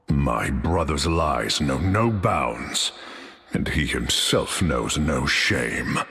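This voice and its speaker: An actor playing a noble, solemn god prince in a video game, with grave, stately, old-fashioned formal speech. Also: male voice, rumbling low, a bit bright, heavily acted. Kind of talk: epic video game voice acting. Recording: reverberant hall-like game audio.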